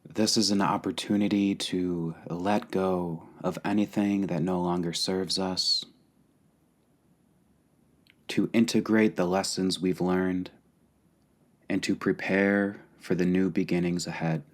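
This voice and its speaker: A man leading a guided meditation calmly and deliberately, pausing between short phrases. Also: low-pitched. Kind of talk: guided meditation, slow and spacious.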